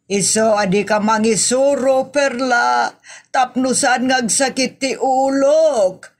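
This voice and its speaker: A woman playing a demanding, exasperated lady of the house in a comedy audio drama, scolding deliberately with an Ilocano Filipino accent. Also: high-pitched, steady tone. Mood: fault-finding.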